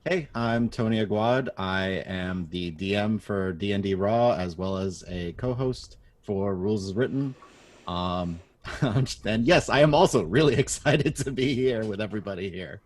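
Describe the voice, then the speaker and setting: A man's voice: lowish voice, a little slow. A man who co-hosts a podcast, chatting casually and upbeat on a panel. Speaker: podcast co-host and game master.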